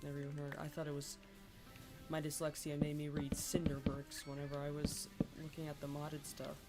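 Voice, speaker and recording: Congested streamer, hesitant and halting. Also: medium pitch, monotone. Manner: hesitant, many ums and restarts; keeps clearing the throat. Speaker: live streamer playing a modded block-building game. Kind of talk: casual live-stream chat while playing. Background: game music.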